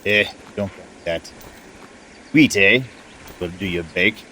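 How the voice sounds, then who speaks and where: A man playing a gruff, battle-worn dwarf commander who banters folksily in a fantasy audio drama. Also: low-pitched, slow, bright, forceful tone, a little hiss or hum, full character voice. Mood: bantering. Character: gruff battle-scarred dwarf commander, shaggy and friendly to recruits.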